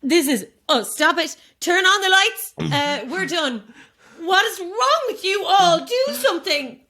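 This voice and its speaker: A defiant actress trapped in a horror story, her very high voice swinging widely in pitch. She is alarmed and snappy, halting as she argues. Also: lively intonation, pushed and bright, full character voice. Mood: angry, frightened. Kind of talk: immersive horror audio drama acting.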